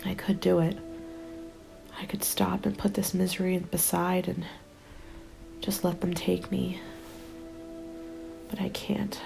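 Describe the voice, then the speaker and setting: A woman acts out a lone, worn-out female survivor of a zombie apocalypse, telling her story as an ASMR roleplay. Her hushed voice is low and creaky, often slipping into breathy whispers. She speaks very evenly and unhurriedly, with music underneath. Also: level tone, slow pace, long pauses, exaggerated voice, low-quality recording. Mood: weary, desperate. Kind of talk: ASMR roleplay, scripted first-person narration.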